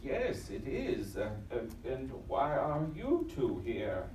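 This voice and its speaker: A man playing an older ship's doctor in a live audio drama, formal, halting and stammering. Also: medium pitch, huge pitch swings, a little mellow, a little hiss or hum. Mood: flustered. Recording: echoey live stage, distant, music behind.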